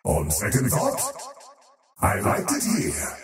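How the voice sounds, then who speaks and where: A male actor playing a menacing, haughty faceless elder god of the void in a video game, speaking in an ominous, deliberate, theatrical way with an otherworldly echo effect. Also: medium pitch, huge pitch swings. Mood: taunting, imperious. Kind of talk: video game character voice lines. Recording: cavernous echo, distant, music underneath.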